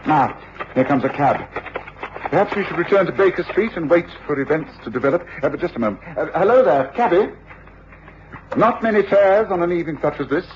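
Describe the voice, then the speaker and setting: An actor playing a shrewd, commanding gentleman detective with an English accent, precise and authoritative, in old radio drama. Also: a little high, expressive, brisk, close to an everyday voice. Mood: brisk, commanding. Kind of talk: 1950s-60s radio drama acting. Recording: dull, noisy old radio drama recording.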